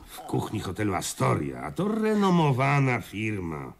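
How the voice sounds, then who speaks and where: An actor playing a nervous older uncle in a radio drama, hesitant and muttering, repeating his words while professing calm. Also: on the low side, normal speed, gravelly, full character voice. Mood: dismissive, reasoning.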